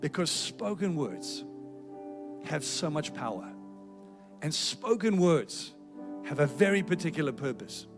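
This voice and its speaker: A man's voice: fairly high pitch, big pitch swings, unhurried, pauses often, music in the background. A South African man preaching a sermon with a South African accent, in an earnest, emphatic exhorting manner. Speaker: pastor.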